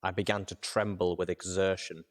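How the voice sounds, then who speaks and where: A man with a low voice narrates fiction in the first person. He reads from a script as an audiobook narrator, with a dramatic storytelling delivery. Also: little pitch movement, normal speed.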